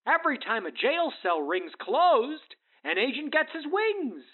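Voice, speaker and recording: A man's voice: very high voice, big pitch swings, unhurried, full character voice. A man playing a chipper, rambling, self-promoting FBI agent in a comic radio play, earnestly corny. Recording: thin, phone-like sound.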